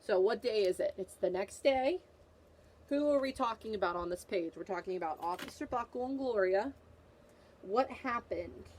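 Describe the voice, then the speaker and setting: Woman, schoolteacher, children's read-aloud, instructive, repeated prompting questions, mid pitch, background noise. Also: expressive, moderate pace, a little mellow, poor audio. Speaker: teacher reading aloud to children. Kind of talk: picture-book read-aloud with comprehension questions.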